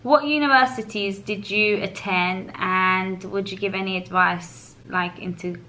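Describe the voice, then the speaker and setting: A woman's voice: medium pitch, fairly even intonation, a little slow, bright, forceful tone, poor audio. A woman, chatting casually and laughing as she answers viewers' questions. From England. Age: 30s. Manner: chatty, laughs often, many fillers. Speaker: vlogger. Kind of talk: casual Q&A chat.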